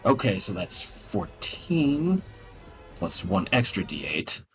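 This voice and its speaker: A man's voice: low voice, very animated, slow pace, a bit bright, soft background music. A man chatting casually and bluntly as a player in a tabletop role-playing game.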